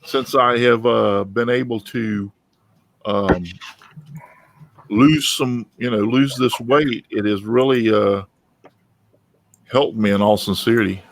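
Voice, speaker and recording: A man's voice: medium pitch, fairly even intonation, slow pace, creaky, long pauses. A man hosting a casual live group chat about his craft, talking in a folksy, rambling way and often repeating himself. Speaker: host of a woodworking talk show.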